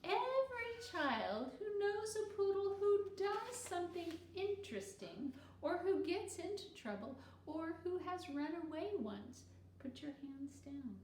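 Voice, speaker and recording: Woman reading as a patient schoolteacher, slow and lively. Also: very high-pitched, far from the mic, voice pushed up, exaggerated voice. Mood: patient, instructive. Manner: measured, instructive. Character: patient, orderly female second-grade teacher. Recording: roomy echo with faint noise.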